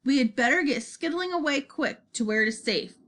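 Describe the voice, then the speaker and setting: A woman voicing a gentle, friendly male giant in a children's storybook, hushed and earnest, with quaint muddled grammar. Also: sits high, animated, brisk, voice pushed up. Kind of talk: dramatized children's storybook reading.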